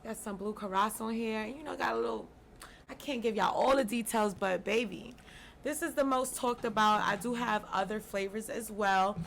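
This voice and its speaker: A woman's voice: medium pitch, moderate pace, gravelly, fairly bright tone, a little hiss or hum. A woman from Brooklyn, a podcast guest chatting casually and laid-back about her drinks business, with slangy asides. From Brooklyn, New York.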